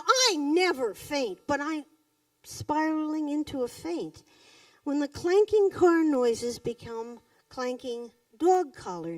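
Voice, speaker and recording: A woman performs her poems in a roomy space, heard from a distance. Her voice is fairly high and swings widely in pitch. She phrases each line deliberately, leaving pauses and leaning on key words. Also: darkish tone. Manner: deliberate, dramatic phrasing with pauses and emphatic stresses. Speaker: poet reading her own poems. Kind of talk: live poetry reading to an audience. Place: indoors in a cafe. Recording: roomy echo, distant microphone.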